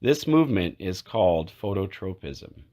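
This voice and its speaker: A man narrating a children's picture book aloud, phrase by phrase in a measured way with clear pauses. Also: low voice, sing-song, warm tone. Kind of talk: read-aloud storybook narration.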